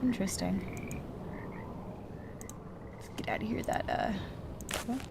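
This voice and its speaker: Woman, casual playthrough commentary, self-talking, frequent fry, leisurely pace, clear noise behind. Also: medium pitch, slow. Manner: thinking aloud, self-talking. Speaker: game streamer.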